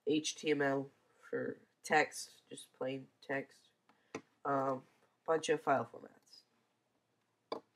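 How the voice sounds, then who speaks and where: Congested sniffly teen, slow unhurried talk, hesitant ums, casual screen-recording review, computer mic. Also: medium pitch, fairly bright tone. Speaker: software reviewer.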